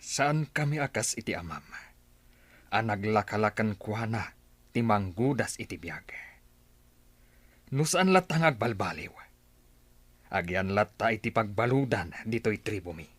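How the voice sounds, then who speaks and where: Guy acting commanding tribe chief, filipino accent, raspy, dry close sound, radio play drama. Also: lowish voice, animated, unhurried, pauses often, fairly bright tone, dry recording. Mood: solemn, relieved.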